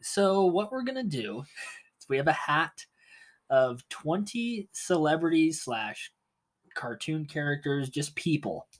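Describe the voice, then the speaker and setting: A man's voice: a little high, big pitch swings, slow pace. Young guy podcasting, blocked up nose, laughs constantly. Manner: laughs a lot, teasing. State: stuffy nose from allergies. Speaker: podcast co-host. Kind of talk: casual podcast chat.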